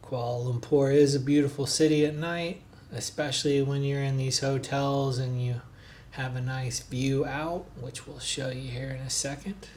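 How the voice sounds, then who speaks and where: A man's voice: medium pitch, steady tone, moderate pace, darkish tone, slight background noise. Easygoing man narrating a travel vlog. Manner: easygoing, chatty, frequent filler words. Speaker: travel vlogger, hotel reviewer. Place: recorded on the road in a noisy city.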